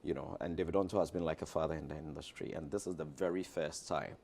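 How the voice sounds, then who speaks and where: A man's voice: rumbling low, steady tone, quick pace, vocal fry, a little mellow. A man with a Ghanaian accent promoting a show as an interview guest, speaking emphatically and repeating phrases for effect. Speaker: guest, stage play director.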